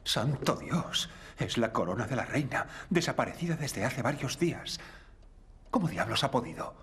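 A man playing a calm refined detective. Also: mid-range voice, moderate pace, slight background noise, close to an everyday voice. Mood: intrigued. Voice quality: composed, precise, correcting.

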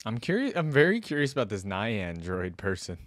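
A man's voice: lowish voice, sing-song, a little fast. A man in his thirties giving casual reaction commentary as a video host, close on a desk microphone. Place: indoor room. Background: game music.

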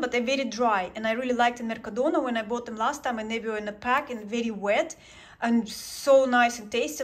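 A woman vlogger chatting casually through a grocery haul at home, hesitant, with many ums and self-corrections. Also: on the high side. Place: home, indoors at a table.

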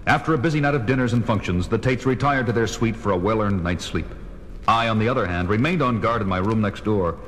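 An actor with an American accent playing a stern, resolute crime-fighting detective in a formal, measured way for a 1970s children's audio drama. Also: male voice, close to an everyday voice. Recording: noisy old vinyl record, background rumble.

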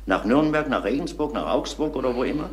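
An actor playing a composed, probing police inspector in a German crime radio drama, questioning in a measured, matter-of-fact way. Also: male voice, medium pitch, animated, lightly acted. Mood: probing, firm.

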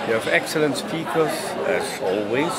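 A man's voice: medium pitch, lively intonation, normal speed. A man in his sixties giving his opinion to camera as a conference attendee in an indoor lobby. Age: 60s. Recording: loud music and crowd in hall.

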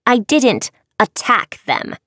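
A woman's voice: on the low side, lively intonation, unhurried, dry recording. Wicked young fairy girl, played by a woman, haughty, sulky, defiant, curt storybook villainess, dry close recording. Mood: defensive.

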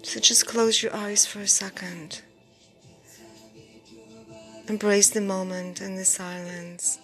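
A woman guiding a meditation in a soft, breathy, near-whispered voice, soothing and unhurried, in short phrases with pauses. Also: medium pitch, level tone. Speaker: meditation guide. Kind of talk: guided meditation. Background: background music.

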